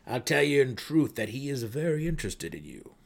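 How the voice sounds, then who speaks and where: A man's voice: medium pitch, big pitch swings, brisk, gravelly, voice pushed up. A hearty old gnome warden voiced by a male reader from a fantasy audiobook, world-weary yet kind. His melodic voice has a growl in it and lifts above the narration, with courtly, archaic phrasing. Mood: hearty, curious. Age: older. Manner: formal, archaic inverted phrasing.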